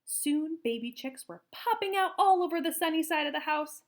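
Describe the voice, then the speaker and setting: A woman narrates a children's storybook in a high voice that swings widely in pitch. She leans into key words with an expressive, emphatic storyteller's delivery. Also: normal speed. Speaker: storybook reader. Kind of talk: animated children's read-aloud narration.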